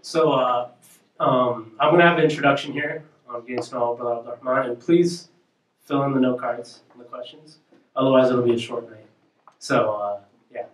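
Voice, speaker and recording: A man hosting a campus question-and-answer evening, halting and filling his questions with "uh" and "um". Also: mid-range voice, fairly even intonation, echoey room, far from the mic, poor audio. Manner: halting, many "uh" and "um". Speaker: event host and interviewer.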